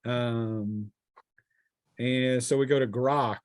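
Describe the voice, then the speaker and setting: A man's voice: mid-range voice, steady tone, drawn-out words, dry recording, clean studio sound. A man acting as game master, refereeing a tabletop game and narrating the action in a casual, improvised way with vivid touches.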